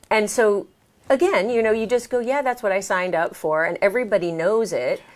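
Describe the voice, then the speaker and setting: An American woman chatting casually as an interview guest, telling her experiences in an animated, exclamatory way. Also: mid-range voice, normal speed. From United States. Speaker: interview guest, American who moved to France. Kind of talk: casual conversational storytelling.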